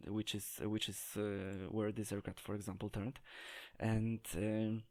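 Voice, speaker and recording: A man giving an unscripted gameplay tutorial, talking in a hesitant, halting way as he searches for words. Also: lowish voice, little pitch movement, a little mellow. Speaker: game tutorial presenter.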